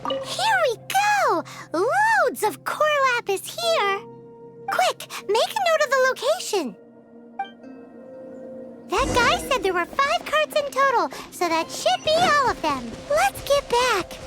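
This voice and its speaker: A woman's voice: very high-pitched, sing-song, slow pace, pushed and bright, faint music behind, exaggerated voice. A woman voicing a tiny childlike female fairy companion in a video game, perky and bossy, exclaiming and declaring things. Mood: excited, perky. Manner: speaks of herself in the third person, exclamatory. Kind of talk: animated video game voice acting.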